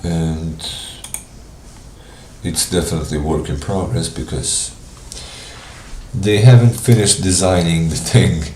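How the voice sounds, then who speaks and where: A man with a cold, sounding congested, casually walking viewers through software on a live screen share. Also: sits low, steady tone, echoey room, slight background noise. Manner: casual, thinking aloud with pauses. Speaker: software trainer.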